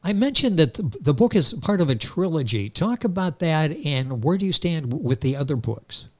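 A man's voice: a little high, sing-song, moderate pace. A male radio host introducing and questioning his guest with effusive praise. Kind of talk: radio interview.

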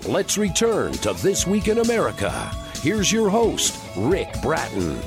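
A man reading a radio show bumper in an animated, showy announcer style. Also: on the high side, measured pace, music in the background. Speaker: station announcer.

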